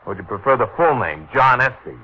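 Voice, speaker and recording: An actor playing a haughty, jealous and threatening rival suitor in an old-time radio drama. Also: male voice, medium pitch, lively intonation, brisk, pushed and bright, breathy edge. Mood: defiant. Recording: dull, faintly noisy vintage radio recording.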